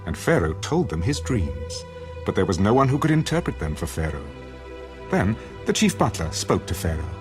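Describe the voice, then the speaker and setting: Deep, expressive male scripture narrator. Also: moderate pace. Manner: solemn, dramatic storytelling. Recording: studio narration with music underneath.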